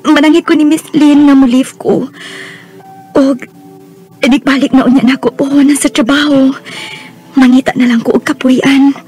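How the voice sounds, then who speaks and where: An actress with a Visayan Filipino accent playing a gentle, tactful young working woman in a radio drama. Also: high voice, steady tone, moderate pace, pauses often, soft background music, natural voice. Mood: gentle, apologetic. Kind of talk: Bisaya radio drama acting.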